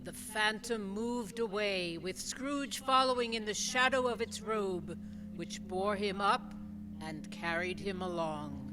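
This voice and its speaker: A woman's voice: medium pitch, slow. A woman reading scripted narration as a narrator in a radio play. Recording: reverberant hall, faint music.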